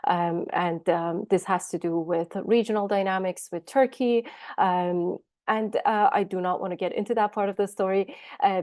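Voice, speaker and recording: A woman's voice: medium pitch, a little mellow. A woman researcher presenting on a webinar panel, speaking with a cold and many hesitant fillers over a video call. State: has a cold.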